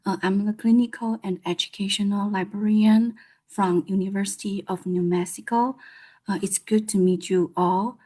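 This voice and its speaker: A woman from Taiwan with a Taiwanese Mandarin accent, a librarian giving a presentation, pausing often with "uh" between phrases. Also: medium pitch, fairly even intonation, mellow. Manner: halting, frequent "uh" fillers. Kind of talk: conference presentation.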